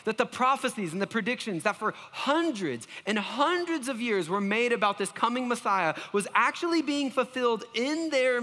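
A man's voice: very high-pitched, bright, forceful tone, in a large hall. A man preaching a sermon as pastor, earnest and self-deprecating, often restarting his phrases.

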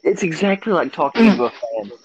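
A man's voice: fairly high pitch, very animated, quick pace, breathy edge. A young man in casual, teasing banter with friends as a podcast co-host on an online call. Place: remote voice call. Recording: dull lo-fi online call audio.

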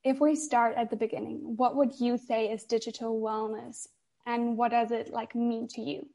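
A woman's voice: fairly high pitch, level tone, moderate pace, vocal fry, dark tone. A woman hosting a podcast interview, gushing and filling her talk with "like.". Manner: gushing, filler-heavy with "like". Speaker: podcast host.

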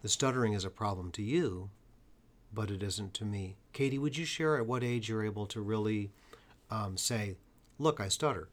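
Male podcast host, earnest, occasional stutter, low-ish voice. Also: moderate pace. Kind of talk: podcast conversation.

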